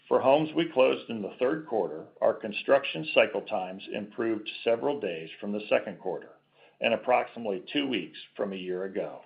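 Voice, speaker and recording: A man's voice: lowish voice, gravelly. A man speaking as a company executive, reading a scripted financial presentation on an earnings call. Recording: dull conference call audio.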